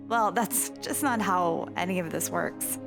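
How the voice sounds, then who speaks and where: A woman's voice: lowish voice, measured pace, gravelly. A woman playing a supportive, perceptive wife in a comic dub, speaking with earnest reassurance. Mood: reassuring, earnest. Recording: music behind the voice. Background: music.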